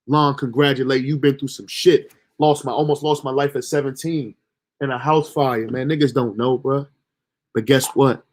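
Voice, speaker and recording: Young male streamer, mid-range voice; casual, profane, self-motivating monologue. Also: mid-range voice. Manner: loose, slangy, motivational self-talk with profanity. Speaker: livestream host.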